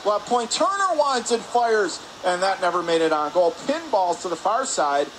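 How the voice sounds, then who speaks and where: A man doing live radio play-by-play hockey commentary in rapid, descriptive calls. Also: high voice. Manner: rapid descriptive calls, excited on scoring chances. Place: press area above rink in an indoor arena. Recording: noisy ice arena, steady background din.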